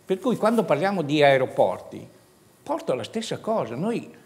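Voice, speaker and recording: A man's voice: a little high, sing-song, moderate pace. A man, moderating a studio debate as host. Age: 70s. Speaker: talk show host.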